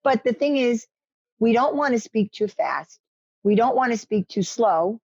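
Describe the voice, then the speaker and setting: A woman's voice: fairly high pitch, animated, a little slow, a little mellow, breathy edge, dry recording, lo-fi sound. A woman from New York with a New York accent, giving media-training advice to participants on a video-call talk show, coaching them by name. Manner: coaching, direct, addresses attendees by name. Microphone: headset on a video call.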